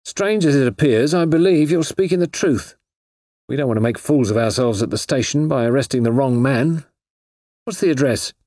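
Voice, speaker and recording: A man voicing a polite, shrewd police inspector in an audiobook, genial and quietly amused, with a deferential, matey manner. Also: mid-range voice, animated, moderate pace, no echo, studio quality, pitched above the performer's own voice, natural voice. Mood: brisk, decided. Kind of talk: audiobook character voice.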